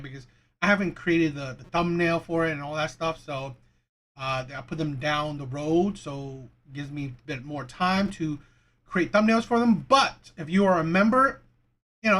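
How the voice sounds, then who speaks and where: High-voiced man rambling through casual commentary. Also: fairly bright tone. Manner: rambling, repetitive, rhetorical questions. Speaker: video host reacting to dating clips.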